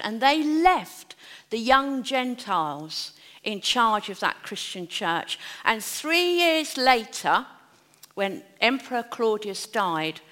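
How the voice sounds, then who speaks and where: Woman preacher, bright and slightly high voice, chatty sermon, church reverb. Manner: chatty, self-deprecating storytelling before preaching. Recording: long echo of a church hall.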